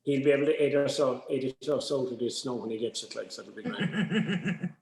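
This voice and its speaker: An Irish man with a mid-pitched voice talks informally to a workshop group, offering practical advice. The sound is noticeably roomy and echoey. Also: brisk, creaky, a little mellow, a little hiss or hum. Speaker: drama workshop adviser.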